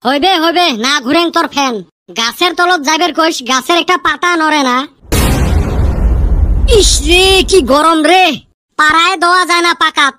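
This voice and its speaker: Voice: very high voice, normal speed, pauses often, sharp tone. A performer voicing a mischievous village kid in a comedy cartoon, complaining and exclaiming with a northern Bengali accent. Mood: cheeky, complaining. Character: mischievous village kid grumbling about the heat.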